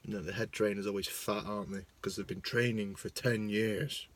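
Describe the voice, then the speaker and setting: Chatty Lancashire lad, low voice. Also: male voice, fairly even intonation, a little fast. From Lancashire, England. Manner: chatty, self-mocking, swings between loud bursts and mumbling asides. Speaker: vlogger. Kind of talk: casual rambling monologue. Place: parked car. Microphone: phone.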